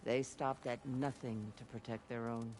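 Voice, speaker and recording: An actor playing an earnest guide who recounts clan legends in a dramatic video game storytelling style. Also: male voice, mid-range voice, level tone, unhurried, darkish tone, slight background noise, close to an everyday voice. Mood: earnest, reverent.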